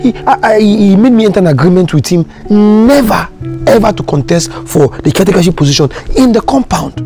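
A man playing a weary, frustrated resident, who complains hesitantly in a comedy skit. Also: on the high side. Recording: music bed behind.

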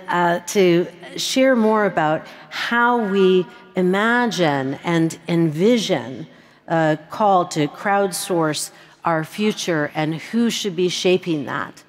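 Slow, low-voiced woman moderating a panel. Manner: measured, reflective, with many fillers. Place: large conference stage. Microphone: headset mic.

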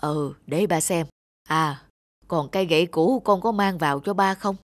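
A man's voice: fairly high pitch, normal speed, no echo, clean studio sound, lightly acted. A man voicing a frail old widowed father in a cartoon, rambling along in a wistful, nostalgic way. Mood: resigned. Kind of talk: cartoon voice acting.

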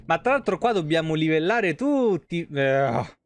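A man's voice: a little high, sing-song, a little slow. A man in his thirties doing casual live game commentary and chatting with viewers, informal and swearing freely. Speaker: live streamer.

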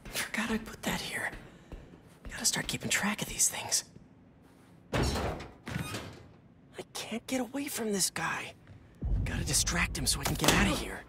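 A man playing a nerdy, earnest teenage boy in a video game, muttering to himself in a hush as he sneaks around. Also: high-pitched, sing-song, measured pace, gravelly, long pauses, in a whisper, heavily acted. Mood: furtive, focused. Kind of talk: video game character dialogue.

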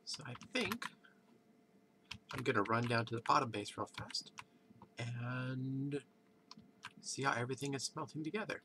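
A man with a congested, stuffy voice, just woken up and casually rambling through gameplay commentary from his computer at home. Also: medium pitch. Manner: rambling, thinks aloud with pauses. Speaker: let's play host. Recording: background noise with keyboard clicks.

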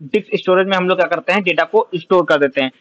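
High-voiced man teaching step by step. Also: quick pace, a bit bright, no room sound, highs cut off. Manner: explains step by step, asks and answers own questions. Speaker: computer science teacher. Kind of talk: whiteboard lecture.

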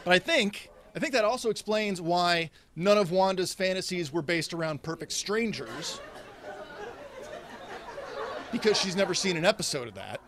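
Man, thirties, stand-up comic, deadpan, high-ish voice, stand mic, small indoor set. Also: noisy background. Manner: deadpan delivery with animated, sweeping hand gestures. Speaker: stand-up comedian. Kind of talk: stand-up comedy routine. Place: indoors, small set in front of a red curtain. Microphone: stand mic in front of him.

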